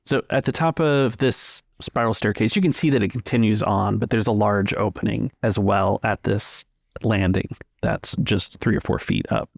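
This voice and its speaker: A man runs a tabletop game in a low voice with plenty of gravelly fry. He describes scenes in measured phrases, pausing to find words, and the sound is close and dry. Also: dry recording. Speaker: game master. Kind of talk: improvised tabletop game narration.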